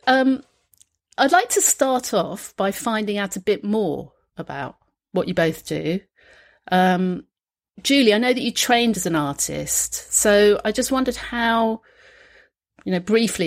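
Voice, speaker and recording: A woman hosting a panel interview, praising her guests and asking rambling questions with fillers. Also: lowish voice. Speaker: interview host.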